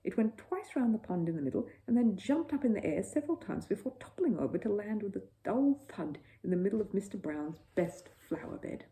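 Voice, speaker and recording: A woman reading a children's bedtime story as an expressive narrator. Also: medium pitch, brisk, hardly any pauses, low-quality recording.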